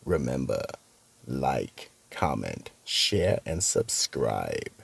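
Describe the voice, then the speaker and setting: A man hosting and narrating scripted horror stories in a theatrical, ominous manner, with a very deep, gravelly, husky voice. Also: very animated. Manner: slow, ominous, dramatic. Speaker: narrator and host.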